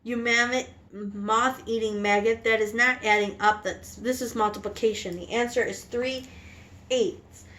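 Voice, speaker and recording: Woman voicing a furious bully headmistress, loud, slow, spitting insults, storybook reading. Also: on the high side, steady tone. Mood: raging. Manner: roaring, spitting out insults slowly.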